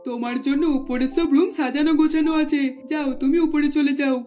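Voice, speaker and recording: A woman's voice: high-pitched, fairly even intonation, brisk, dark tone, faint music behind, natural voice. A woman voicing a middle-aged, scheming mother-in-law in a cartoon, coaxing and instructing.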